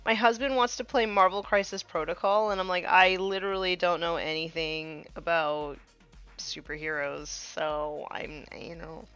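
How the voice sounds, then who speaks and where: A woman's voice: lowish voice, fairly even intonation, moderate pace, a bit bright, clear, resonant tone, lo-fi sound, faint music behind. A woman in her thirties chatting casually while painting miniatures on a live stream, with many fillers, sometimes trailing off to a murmur.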